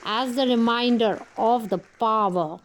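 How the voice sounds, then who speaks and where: A woman storyteller narrating a scripted children's fairytale in a deliberate way, broken into short phrases. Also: on the high side.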